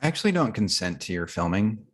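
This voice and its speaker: A woman playing an awkward, earnest young comedy writer, tentative and deadpan, in a comedy table read. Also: rumbling low, fast, slightly rough, full character voice. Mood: awkward, dry.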